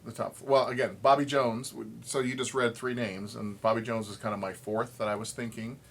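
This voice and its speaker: A man co-hosting a podcast, chatting and debating casually with the others, with clear background noise. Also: mid-range voice, flat delivery, fairly quick. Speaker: podcast co-host. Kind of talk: casual podcast banter and discussion.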